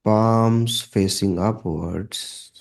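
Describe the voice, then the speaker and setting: A man leading a guided meditation in a calm, measured manner, leaving long pauses between short phrases. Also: lowish voice, gravelly.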